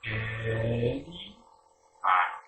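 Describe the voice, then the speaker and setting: Middle-aged man, language teacher, very slow and deliberate drill delivery, distant mic in a roomy space. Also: fairly low pitch, sing-song, over music. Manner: slow, deliberate modelling of short phrases for repetition. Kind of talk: repetition drill lesson. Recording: echoey room, distant mic, faint background noise.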